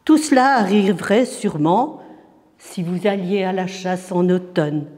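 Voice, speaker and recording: A man reciting poetry in a dramatic, declamatory manner, phrase by phrase with deliberate pauses. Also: sits high, a little mellow. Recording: long reverberant hall echo.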